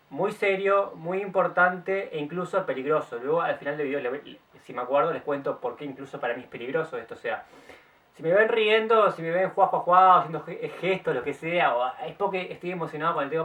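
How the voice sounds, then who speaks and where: A young man with a Uruguayan accent chats to his viewers in a slightly high voice, tripping over and restarting his words as he goes. The sound is a little distant, caught by a camera mic set back from him outdoors. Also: brisk, a bit bright. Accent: Uruguayan Spanish. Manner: chatty, stop-start, self-correcting. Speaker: vlogger. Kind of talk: casual storytelling to camera. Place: outdoors in the late afternoon.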